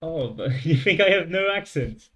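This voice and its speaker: A man's voice: a little high, expressive, moderate pace, a bit bright, soft background music. A young man from France with a light French accent, thinking aloud as he codes on a livestream and chats with his viewers. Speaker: streamer. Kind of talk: casual coding livestream.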